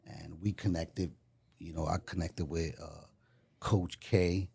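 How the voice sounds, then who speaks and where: A man's voice: sits low, fairly even intonation, creaky, mellow, slightly rough. A man hosting a podcast, introducing his guest in a loose, rambling way. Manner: loose, rambling, fills pauses with "you know" and "um". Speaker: podcast host. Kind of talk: casual chat.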